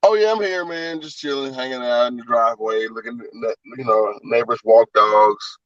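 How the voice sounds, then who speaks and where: A man's voice: medium pitch, normal speed, fairly bright tone, no room sound. Laid-back man on a distant dull line. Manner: laid-back, swears casually. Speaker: guest. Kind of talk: casual sports chat. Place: outdoors in a driveway.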